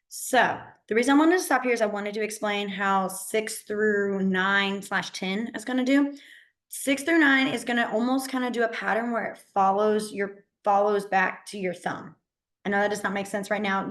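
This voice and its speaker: A woman whose voice is hoarse and half gone. She teaches a beginner class online over a computer mic, chatty and encouraging, with plenty of fillers. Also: medium pitch. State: hoarse, partly lost voice. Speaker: sign language teacher.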